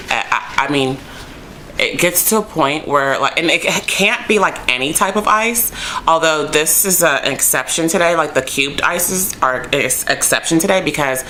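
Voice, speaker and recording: Chatty low-voiced woman, bright tone. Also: slight background noise, low-quality recording. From United States. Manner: chatty, rambling, many ums and likes; animated, jumps high when excited. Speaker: vlogger. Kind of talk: casual chat to camera.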